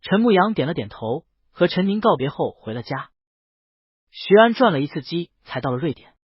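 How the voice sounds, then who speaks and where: A man narrates a scripted story. His voice sits a little high and moves through lively, varied intonation, with a husky edge. The recording is close and dry, slightly muffled. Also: normal speed, dry recording. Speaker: audiobook narrator.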